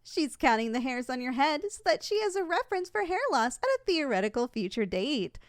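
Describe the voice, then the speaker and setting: Female actor as polite, unflappable saleswoman for an audio roleplay; high, very animated voice, formal sales patter. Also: a little fast, dry recording, clean studio sound. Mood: polite, businesslike. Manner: formal, courteous, matter-of-fact about danger. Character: polite, unflappable saleswoman giving a yandere shop tour.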